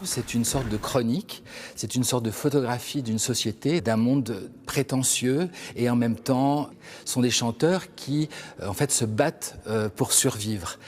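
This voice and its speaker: A man's voice: mid-range voice, measured pace, slight background noise. A man describing a stage comedy in a promotional clip. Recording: clip over loud music.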